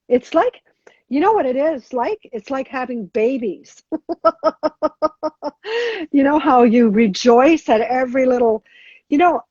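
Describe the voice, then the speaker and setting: Halting middle-aged woman, lo-fi sound. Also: high voice, mellow, dry recording. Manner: hesitant, many restarts and fillers. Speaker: guest. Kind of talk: podcast interview. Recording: dull lo-fi sound.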